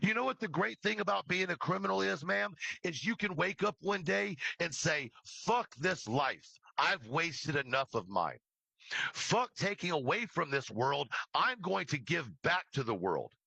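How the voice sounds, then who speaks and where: Sharp, gravelly man on a confrontational rant. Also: fairly high pitch, sing-song, a little slow, no room sound, low-quality recording. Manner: confrontational, scolding, emphatic; swears freely. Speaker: true crime livestream creator. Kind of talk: impassioned rant addressed to an absent person.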